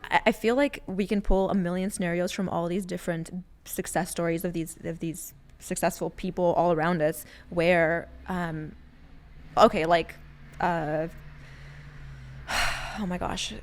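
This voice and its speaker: A woman co-hosting a podcast in casual, unscripted chat, animated and informal as she thinks aloud. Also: lowish voice, unhurried. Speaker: podcast co-host.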